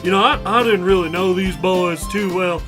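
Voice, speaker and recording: A man voicing a blunt, foul-mouthed snake rancher, rambling haltingly through an improvised comedy eulogy. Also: high-pitched, over music, lightly acted. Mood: halting, dismissive. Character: gruff, foul-mouthed male rattlesnake rancher, rambling and bitter.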